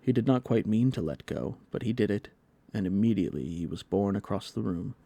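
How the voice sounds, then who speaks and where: A man narrates a storybook in a scripted audiobook style. His voice is fairly low and very mellow, recorded dry with hardly any room sound. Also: animated, fairly quick, vocal fry, no room sound.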